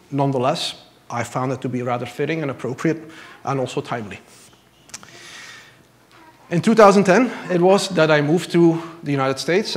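A man from Belgium in his thirties shares his life story at a church pulpit. He talks earnestly, with a few light laughs at himself, and his voice sits in an ordinary middle range. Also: medium pitch. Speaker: church member giving testimony. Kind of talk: personal testimony from a pulpit. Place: church stage. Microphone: lapel mic.

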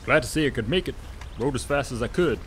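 An earnest, polite young railroad worker, played by a man in a western radio play. He speaks at a middle pitch. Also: expressive, quick pace, fairly bright tone, slight background noise, close to an everyday voice.

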